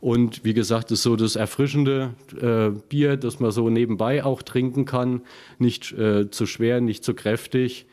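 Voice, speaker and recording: A man from Upper Franconia with a Franconian German accent, talking in a relaxed, explanatory way with plenty of fillers. His voice sounds mellow and dark. He sits in a small basement brewery room. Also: mid-range voice, measured pace. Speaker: small craft brewer, guest. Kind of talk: casual interview.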